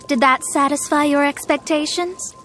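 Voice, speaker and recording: A woman's voice: high voice, fairly even intonation, moderate pace, background noise, natural voice. A woman playing a proud, haughty young female astrologist in an anime-style video game, speaking in pompous, articulate, theatrical phrases. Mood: smug, assured. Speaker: video game character actor.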